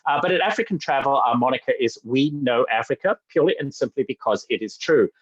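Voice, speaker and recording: An eager man from Zambia presents with bubbly enthusiasm and a steady mid-range pitch. His speech is full of little fillers and restarts. The sound is dry and a bit dull, like a lo-fi web call. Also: breathy edge, dry recording. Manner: energetic, fast-talking, frequent fillers and restarts. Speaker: sales director presenting.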